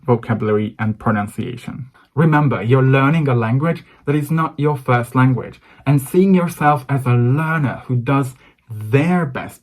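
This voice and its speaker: A young man with a Hungarian accent, a native Hungarian speaker, giving an encouraging to-camera English lesson. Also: medium pitch. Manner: encouraging, direct address to viewer. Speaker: English coach.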